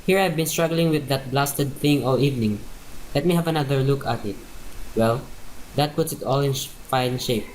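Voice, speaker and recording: Male actor as folksy middle-aged father, radio drama; genial, studious, medium pitch, faint noise. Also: normal speed, darkish tone, close to an everyday voice, poor audio. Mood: impressed, grateful. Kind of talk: radio play acting.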